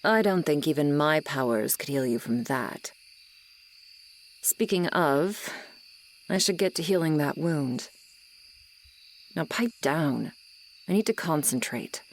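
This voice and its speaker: A woman's voice: deep voice, expressive, slight background noise, heavily acted. A woman voicing a bossy, exasperated but secretly caring female swamp monster in an ASMR audio roleplay. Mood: wary, musing. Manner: exasperated, bossy, scolding, deliberate.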